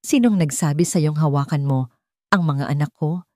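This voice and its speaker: A woman narrator playing a haughty, hot-tempered rich bride with a Filipino accent, sharp and commanding, in an audio story. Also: sits low, moderate pace, no room sound, clean studio sound, natural voice. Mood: furious.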